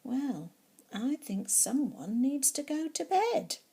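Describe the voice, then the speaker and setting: A woman's voice: sits high, big pitch swings, slow pace, dark tone, voice pushed up, heavily acted. A woman voicing an exasperated mother in a storybook, pleading with and scolding her small daughter in a pointed way.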